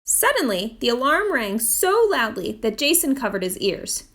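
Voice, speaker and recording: A woman reading a children's picture book aloud in an expressive storytime style. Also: a little high, normal speed. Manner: expressive read-aloud delivery. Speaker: storytime reader, literacy program manager.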